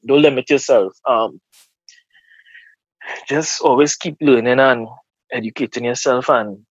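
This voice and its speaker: A man's voice: mid-range voice, fairly even intonation, a little slow, husky, no room sound, dull audio. A man with a Trinidadian accent speaking earnestly and casually in an interview, often repeating words as he gathers his thoughts. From Trinidad and Tobago. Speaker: guest.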